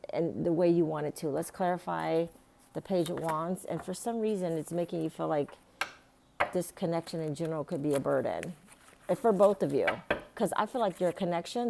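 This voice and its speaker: A woman with a raspy, hoarse voice giving a casual tarot reading, rambling with many fillers and restarts. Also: deep voice, noisy background. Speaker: tarot reader.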